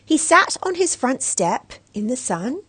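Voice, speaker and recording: Slow, expressive woman storyteller. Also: sits high, breathy edge, no room sound. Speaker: storybook reader. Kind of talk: expressive children's story narration.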